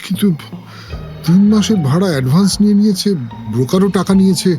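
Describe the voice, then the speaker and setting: Swooping, highish-voiced young husband in horror play. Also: male voice, expressive, quick pace, warm tone, soft background music. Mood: subdued, brooding. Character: newlywed husband, bank employee, uneasy in new house. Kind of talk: horror audio drama acting.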